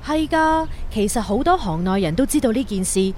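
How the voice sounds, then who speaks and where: An actress playing a curious, expressive young woman in a Cantonese sci-fi radio drama, with a Hong Kong accent. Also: on the high side, normal speed, slight background noise, natural voice. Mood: confiding.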